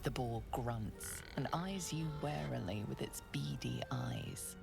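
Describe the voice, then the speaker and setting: A woman playing a grave, ominous narrator in a video game, speaking in a measured, hushed storybook manner. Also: very deep voice, gravelly, loud music behind the voice, exaggerated voice. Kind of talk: scripted second-person game narration.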